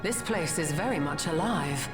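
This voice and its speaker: Calm female scholar, voiced by a man, measured and soft, roomy sound. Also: fairly high pitch, over loud music, pitched above the performer's own voice, lightly acted. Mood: observant.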